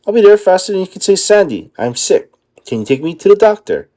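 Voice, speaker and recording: Man as a brisk, lively female cartoon squirrel. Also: high-pitched, voice pushed up. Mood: breezy.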